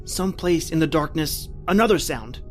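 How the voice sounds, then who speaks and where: An actor playing a terrified, desperate man recounting his flight from a monster, in intense old-time radio horror style with drawn-out, repeating phrases. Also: on the high side, expressive, lightly acted. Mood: brooding dread. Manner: intense, breathless repetition, drawn-out dramatic phrasing. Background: music.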